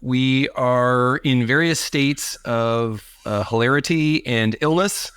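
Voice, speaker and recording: A man's voice: medium pitch, slow pace, a bit bright, clear, resonant tone, no room sound. A man hosting an academic podcast, a physician with a wry, teasing manner. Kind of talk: podcast discussion.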